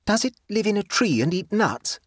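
A man's voice: high-pitched, sing-song, moderate pace, no echo, clean studio sound, pitched above the performer's own voice. A man voicing an anxious young English woman in a story, fretful, urgent and easily horrified. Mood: nervous.

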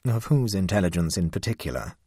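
A man voicing an aloof male talking cat who speaks frigidly and with deadpan indifference. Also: deep voice, fairly quick, gravelly, breathy edge, no room sound, studio quality, full character voice. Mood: cold disdain.

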